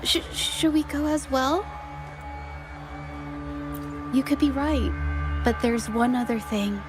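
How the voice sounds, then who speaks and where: A woman voicing a shy, gentle young female painter in a video game, hesitant, polite and apologetic. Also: high-pitched, slow pace, over music. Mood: earnest. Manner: hesitant, soft-mannered, apologetic.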